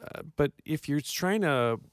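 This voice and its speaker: A man's voice: a little high, expressive, a little slow, clear, resonant tone, dry recording, studio quality. A man co-hosting a podcast, talking thoughtfully with some hesitation. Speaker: podcast co-host. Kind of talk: casual podcast chat.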